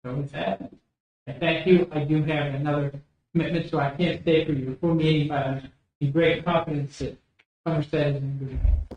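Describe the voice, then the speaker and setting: Man, slightly high voice, gracious remarks, halting, very echoey room. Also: fairly even intonation, highs cut off. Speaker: county official addressing the board. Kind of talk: formal meeting remarks. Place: county commissioners' meeting room.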